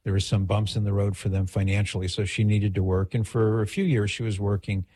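A man's voice: fairly low pitch, level tone, a little fast, dark tone, dry recording, studio quality. A middle-aged man from the United States hosting a talk radio show in a casual, conversational way, with rambling asides as he reads and reminisces. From United States, Los Angeles area. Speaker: radio call-in show host. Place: radio studio.

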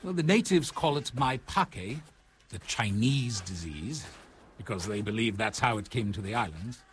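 A man with a London accent playing a gentle, welcoming male nurse who runs a leper settlement, in an audio drama. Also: on the low side, lively intonation, moderate pace, a little hiss or hum, lightly acted. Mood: friendly. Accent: London English.

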